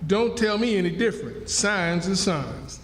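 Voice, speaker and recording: A man's voice: sits high, lively intonation, measured pace. A man playing a superstitious, insistent runaway in a radio drama, speaking emphatically. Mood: triumphant, insistent. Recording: echoey hall stage, faint noise.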